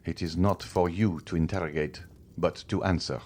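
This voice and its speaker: A man's voice: sits low, fairly even intonation, moderate pace, a little mellow, husky. A man reading an audiobook, voicing a stern magistrate husband who accuses his wife with cold contempt and climbing fury. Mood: accusing. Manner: accusing, rising to furious outbursts.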